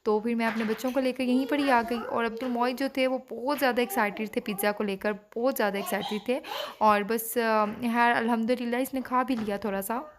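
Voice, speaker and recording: A woman's voice: a little high, fairly even intonation, a little fast, a little hiss or hum. A woman in her thirties with a Pakistani Urdu accent, chatting casually in a home vlog and talking to her children. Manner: chatty, scolds and coaxes children. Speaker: vlogger and mother. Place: home and restaurant.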